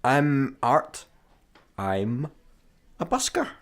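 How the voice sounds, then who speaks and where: A grumpy Scottish busker in a sci-fi radio comedy. His mid-pitched voice swings widely as he gets irritable and sarcastic. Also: huge pitch swings, very slow, a bit bright, full character voice. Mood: awkward. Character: grumpy, sarcastic, easily wounded young space busker. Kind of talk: radio sitcom acting.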